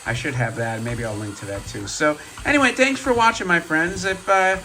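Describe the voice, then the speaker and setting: Hoarse man chatting about toys. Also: on the high side, brisk, noisy background. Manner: chatty and rambling, many fillers and asides. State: hoarse from a cold, voice deeper. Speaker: toy robot collector and channel host. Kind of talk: casual show-and-tell vlog.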